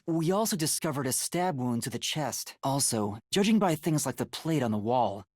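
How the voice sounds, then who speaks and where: An earnest young male detective from a video game. He sounds boyish and easily flustered, with hesitant phrasing and pitch that moves around a great deal. The sound is dry and clean, with no room around it. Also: a little mellow, husky, no room sound. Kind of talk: English video game voice acting.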